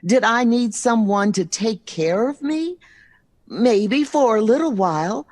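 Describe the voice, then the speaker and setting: A woman's voice: fairly high pitch, expressive, measured pace, a little mellow. An American actress playing a hurt, loving middle-aged mother in an audio drama, emphatic and emotionally open. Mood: earnest, firm.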